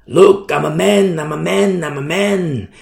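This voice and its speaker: A man's voice: on the high side, a little slow, vocal fry, a little mellow. A man voicing a boastful, self-important macho man in a mocking singsong. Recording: roomy echo.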